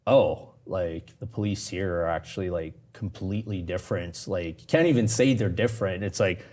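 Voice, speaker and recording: A man's voice: low-pitched, moderate pace, a little mellow. A man with a Canadian accent and Persian as his first language, telling his story casually as an interview guest and voicing quoted reactions informally. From Canada, moved from Iran as a young child. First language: Persian (Farsi). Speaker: podcast guest, police constable.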